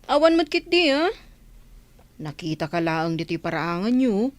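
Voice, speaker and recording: A woman's voice: medium pitch, sing-song, normal speed, dry recording, close to an everyday voice. A woman playing a teasing, nosy young village friend in an Ilocano comedy radio drama. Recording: faint music behind the voice.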